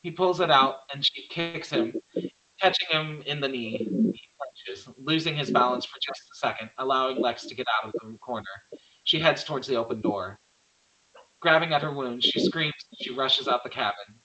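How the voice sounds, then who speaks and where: A man's voice: mid-range voice, moderate pace, bright, forceful tone, rough recording. A man reading the stage directions of a horror screenplay as scripted narration.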